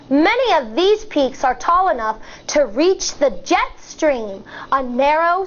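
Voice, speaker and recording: Woman, very high voice, unhurried teacher read-aloud for kids, explanatory, dull lo-fi recording. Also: a bit bright. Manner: deliberate, explanatory, addressing young children. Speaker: elementary school teacher reading aloud. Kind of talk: children's read-along of a nonfiction picture book with explanatory asides. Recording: dull, muffled lo-fi sound.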